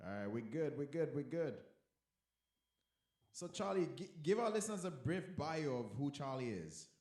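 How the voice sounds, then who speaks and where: A man's voice: a little high, big pitch swings, moderate pace, darkish tone. A man hosting a radio show, interviewing a guest with upbeat, playful banter. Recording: echoey room.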